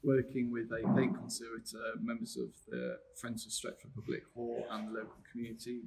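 A male architect giving a measured, explanatory walkthrough of a renovation site, filmed by a camera mic in a large hall under renovation. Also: medium pitch, darkish tone. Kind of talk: scripted walkthrough presentation. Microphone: camera mic from a few metres away. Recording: roomy echo, distant mic, clear background noise.

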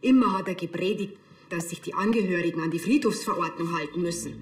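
A woman's voice: fairly low pitch, fast, lightly acted. Actress as matter-of-fact woman in echoey room. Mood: stern, indignant. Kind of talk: television crime comedy acting.

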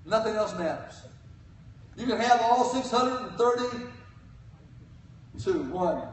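An older man preaching a sermon from a church pulpit, swinging between loud cries and quiet asides. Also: sits high. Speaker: guest preacher. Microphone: pulpit mic, filmed from the pews. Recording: echoey church from far off.

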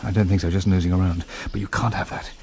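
An actor in a radio drama playing a charming, commanding Englishman on holiday, suave, brisk and decisive. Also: low voice, steady tone, dark tone, slight background noise. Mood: firm.

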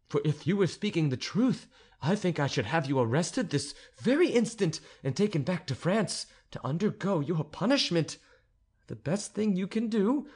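A man's voice: on the high side, darkish tone, husky, voice pushed up. A man reading an audiobook, voicing a proud, stern noble countess in a haughty, imperious manner.

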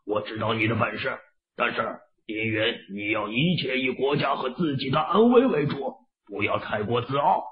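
A man's voice: medium pitch, animated, moderate pace, lightly acted. A man playing a stern, protective elderly leader who admonishes with authority in an audio drama, in dull lo-fi sound. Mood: stern warning.

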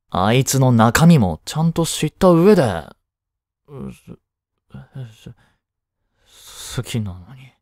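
A man's voice: mid-range voice, creaky, darkish tone, dry recording, studio quality, exaggerated voice. A man playing a flustered teenage boy jealous over his childhood friend in a romantic voice drama, boyish and hesitant. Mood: jealous, anguished. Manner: boyish, hesitant, muttering to himself. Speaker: amateur voice-drama actor. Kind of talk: Japanese romantic voice drama acting.

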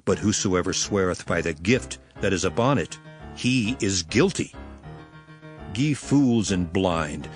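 A man's voice: deep voice, animated, slow pace. A man acting a stern, authoritative teacher in a dramatized scripture reading, speaking with solemn, emphatic declamation. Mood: stern, rebuking. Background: background music.

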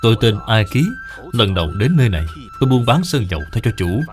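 Man acting humble old servant, lively delivery. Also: on the low side, fast talker, soft background music, lightly acted. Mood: humble, grateful. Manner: deferential, earnest, formal. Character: loyal, humble, determined elderly male servant turned trader. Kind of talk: dubbed animated folk tale dialogue.

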